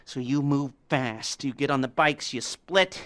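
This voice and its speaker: A man's voice: measured pace, a bit bright, no room sound, lightly acted. An actor playing a jittery, heavily medicated male heist planner in a video game, rambling and laughing nervously. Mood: briefing. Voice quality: nervous, rambling, giggly, manic swings in pitch. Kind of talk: video game cutscene acting.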